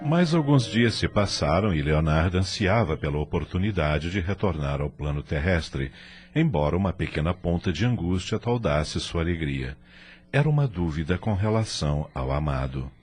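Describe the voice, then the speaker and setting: A man's voice: low-pitched, expressive, normal speed, darkish tone, breathy edge, soft background music. A Brazilian man reading scripted narration for an audio drama.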